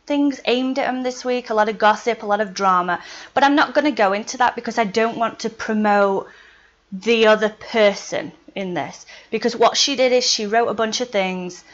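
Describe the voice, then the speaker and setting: A woman's voice: medium pitch, a bit bright. A woman from Yorkshire with a Yorkshire accent, explaining a spell in a casual how-to vlog, chatty and often laughing between points. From Yorkshire, England. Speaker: witchcraft vlogger. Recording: slightly dull lo-fi sound.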